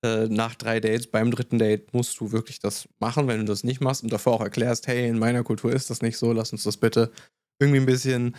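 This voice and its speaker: A man co-hosting a podcast, telling personal stories lively and sprinkling in English words. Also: mid-range voice, brisk, no room sound, studio quality. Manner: animated storyteller, mixes in English words. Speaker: podcast co-host. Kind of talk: casual podcast chat.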